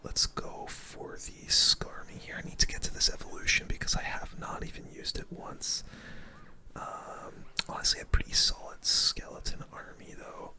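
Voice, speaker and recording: An ASMR gaming host giving casual play-by-play commentary in a hushed, breathy, unvoiced whisper with gamer slang. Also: normal speed. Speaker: gaming video host playing a card battle game.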